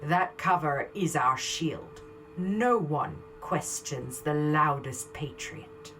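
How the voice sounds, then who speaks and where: A steely female resistance leader, acted by a woman in a sci-fi audio drama. She speaks slowly and low, resolute and calculating, over clear background music. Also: expressive, sharp tone, exaggerated voice, poor audio. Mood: firm, persuasive.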